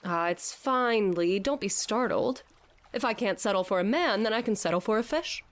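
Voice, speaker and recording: A woman's voice: mid-range voice, expressive, normal speed, natural voice. An actress playing a dazed, theatrical woman who declaims in a grandiose mock-Shakespearean way in an audio drama. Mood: addled, grandiose. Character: dazed theatrical woman raving as Hamlet to a fish head. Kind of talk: scripted audio drama acting.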